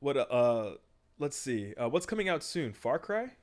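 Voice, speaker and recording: Casual podcast guy, jokey, lots of um and uh, middle pitch. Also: sing-song, unhurried. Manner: jokey, loose, lots of fillers. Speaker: podcast co-host. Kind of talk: casual chat.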